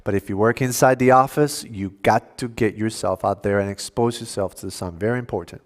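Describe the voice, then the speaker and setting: A man's voice: lowish voice, brisk. Man giving a health lecture. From Cuba. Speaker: health seminar speaker at a church. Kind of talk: educational health lecture to an audience.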